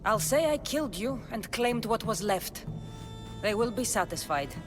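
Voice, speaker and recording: A woman's voice: on the high side, expressive, moderate pace, over music, close to an everyday voice. Actress as blunt female mercenary, game drama, sardonic, commanding, widely varied intonation. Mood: stern, commanding. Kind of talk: video game dramatic acting.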